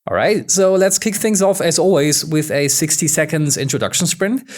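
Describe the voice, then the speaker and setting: A man's voice: on the high side, normal speed. A man hosting a podcast interview, asking upbeat questions with many fillers. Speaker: podcast host.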